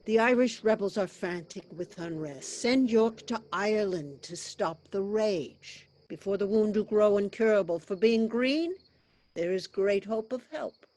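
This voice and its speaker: A woman's voice: mid-range voice, a little slow, a little mellow, natural voice. A woman playing a loyal noble adviser, formal and courtly, in classical stage verse. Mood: earnest, loyal. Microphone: video call.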